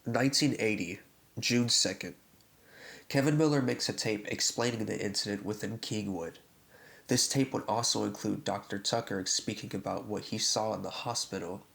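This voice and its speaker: A man narrating a scripted horror story recap in a deliberate way, reading out events in short phrases with a gravelly, creaky voice. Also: on the low side, fairly bright tone.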